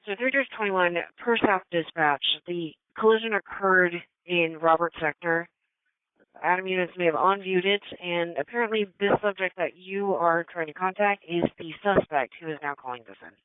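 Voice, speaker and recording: High clear male dispatcher over radio. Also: normal speed, no room sound. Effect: two-way radio. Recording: narrow-band police scanner radio, dry.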